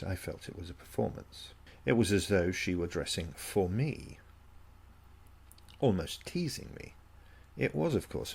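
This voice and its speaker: A man narrating a first-person story from a script in a measured, literary way. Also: low voice, creaky, husky.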